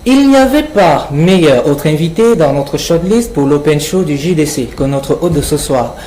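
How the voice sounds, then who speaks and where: High-pitched Cameroonian man, mellow showman delivery. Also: lively intonation, normal speed, a little hiss or hum, low-quality recording. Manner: theatrical, declamatory. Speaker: radio columnist presenting a guest portrait. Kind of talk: scripted portrait read to a guest.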